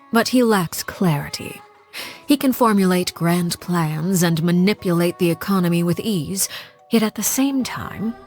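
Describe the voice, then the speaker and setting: A woman plays a commanding, aloof woman from a video game, with a fairly low voice. She delivers formal monologues in a measured, composed way with quiet menace. Music plays underneath. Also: lightly acted. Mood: cool, disdainful. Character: cold, composed, commanding woman, a Harbinger, judging her colleagues.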